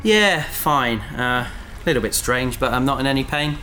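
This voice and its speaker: An actor playing a preoccupied, gently stubborn space traveller in a naturalistic sci-fi audio drama, hesitant and often trailing off. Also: male voice, mid-range voice, expressive, normal speed, soft background music. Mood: distracted, uneasy.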